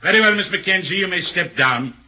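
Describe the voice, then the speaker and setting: An actor playing a stern judge giving official commands in a 1940s radio drama. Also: male voice, high-pitched, expressive, exaggerated voice. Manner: loud, drawn-out official commands. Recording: dull 1940s radio recording.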